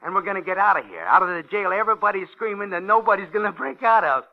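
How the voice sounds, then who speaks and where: An actor playing a sadistic, menacing gangster who taunts and needles in a 1950s radio drama. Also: male voice, sits high, lively intonation, fast, gravelly. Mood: boastful, excited. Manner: mocking, needling, repeats himself tauntingly. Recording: dull, noisy old radio recording.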